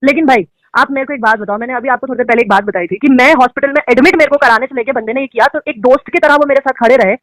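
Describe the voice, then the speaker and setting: Young actress guest, high and quick, outspoken, emphatic, dry close sound. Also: female voice, no echo. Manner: outspoken, emphatic, mixing in English phrases. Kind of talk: candid interview answers.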